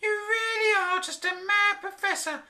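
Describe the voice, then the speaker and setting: Shrill scornful wife, sky-high voice, bright and sharp. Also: female voice, fairly even intonation, moderate pace, heavily acted. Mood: scolding. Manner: mocking, scornful. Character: shrill scolding wife, mocking and exasperated.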